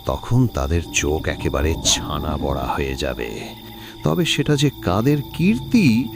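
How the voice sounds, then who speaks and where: An actor playing a menacing village thug in a horror cartoon, gloating in a sinister way. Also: male voice, extremely low pitch, full character voice. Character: menacing adult male village thug gloating over a crime.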